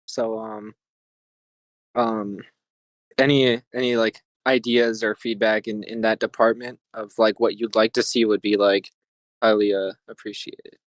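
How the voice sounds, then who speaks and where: A man talking casually and haltingly on a voice call as a writer asking for feedback. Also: fairly low pitch, little pitch movement, no room sound. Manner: halting, hesitant, many pauses and hedging words. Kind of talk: casual spoken remarks on a voice call.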